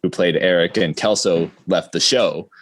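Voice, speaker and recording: Guy with a pretty low voice, casual podcast talk, really dry sound. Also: moderate pace, no room sound.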